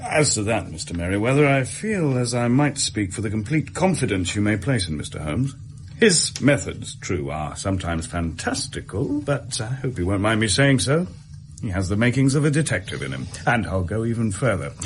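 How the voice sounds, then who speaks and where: An actor playing a hearty, patronising Victorian police inspector with a British accent, speaking in a plain, self-important way in a radio drama. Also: male voice, fairly low pitch, sing-song, moderate pace, slight background noise. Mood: patronising, assured.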